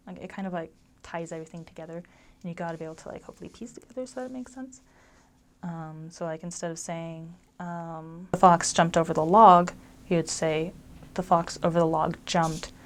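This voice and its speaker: A woman's voice: fairly low pitch, a little hiss or hum. A young woman giving casual advice about living abroad, rambling with lots of ums and likes. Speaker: former resident giving advice.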